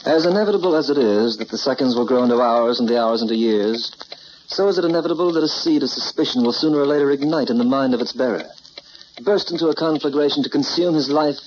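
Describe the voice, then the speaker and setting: An actor playing an ominous, grandiose narrator of a radio suspense tale, with solemn, florid delivery. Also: male voice, mid-range voice, fast talker, a little mellow, close to an everyday voice. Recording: dull, hissy old radio recording.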